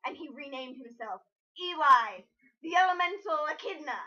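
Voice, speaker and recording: High, bright-voiced woman, incredulous and pedantic. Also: expressive, moderate pace, muffled recording. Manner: incredulous, pedantic about grammar. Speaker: writer co-hosting a mock dramatic reading. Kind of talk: mocking read-aloud commentary, casual chat.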